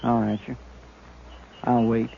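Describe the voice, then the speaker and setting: An actor playing a loyal, simple-hearted frontier deputy with an American accent, folksy and deferential, in a 1950s radio western. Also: male voice, mid-range voice, little pitch movement, slow, creaky. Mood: indignant, earnest. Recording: muffled, noisy 1950s radio recording.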